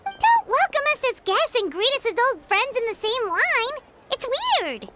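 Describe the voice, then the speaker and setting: A woman's voice: extremely high pitch, sing-song, moderate pace, heavy background noise. A woman voicing a small, chirpy, blunt, childlike fairy companion in a video game. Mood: excited, blunt. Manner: speaks of self in third person, blunt.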